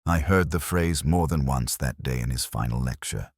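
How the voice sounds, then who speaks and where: A man reading a first-person literary detective mystery in a measured, reflective, melancholy way. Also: a bass voice, husky, dry recording, studio quality.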